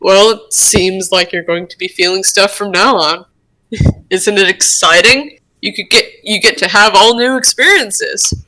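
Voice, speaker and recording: A woman's voice: medium pitch, unhurried, sharp tone, poor audio. A woman voicing a playful male creature with a tail, talking in a casual, teasing and reassuring way in an amateur roleplay reading. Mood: encouraging.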